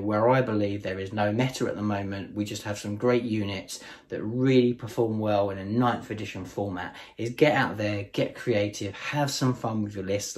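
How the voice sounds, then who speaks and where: A man with a hoarse, fading voice hosting a casual, enthusiastic tabletop wargame strategy tutorial, chatting to viewers as guys. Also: on the low side, a little mellow. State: hoarse, losing his voice. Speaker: tabletop wargame channel host.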